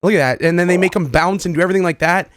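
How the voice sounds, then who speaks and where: A man hosting a reaction video, talking casually to viewers with excited, repeated exclamations. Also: high voice, very fast, no echo, studio quality. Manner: casual, repetitive exclamations, addresses viewers familiarly. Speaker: video host reacting to game clips.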